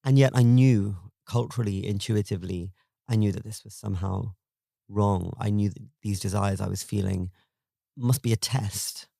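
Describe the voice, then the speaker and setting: A man in his twenties telling a personal story in a thoughtful, wry and measured way. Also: fairly low pitch, gravelly, mellow, no echo, studio quality. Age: 20s. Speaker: guest storyteller.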